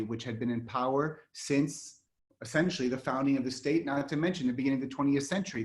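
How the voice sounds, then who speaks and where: A man from Israel leads an online history lecture over a video call, explaining in a conversational way with frequent fillers and restarts. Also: mid-range voice. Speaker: lecturer and guide for an online series.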